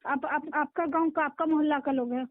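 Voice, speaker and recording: A woman's voice: high voice, steady tone, brisk, no room sound. A woman official with a Bihari accent, insistent and directive, speaking on a recorded phone call. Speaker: block-level government official. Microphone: phone line.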